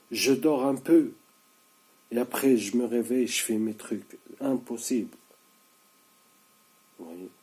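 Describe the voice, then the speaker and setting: A man's voice: fairly low pitch, dark tone. Weary, insistent man in his thirties. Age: 30s. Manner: repetitive, insistent, halting with many restarts. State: sleep-deprived. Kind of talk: casual video monologue.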